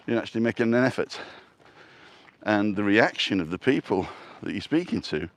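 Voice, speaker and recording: Yorkshire guy on a live seaside walk, lowish voice, jokey and rambling, reads out comments. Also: big pitch swings, a little slow. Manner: chatty, joking, reads viewer comments aloud. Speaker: vlog host. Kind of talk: casual live-stream walk chat. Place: outdoors on a seaside walkway.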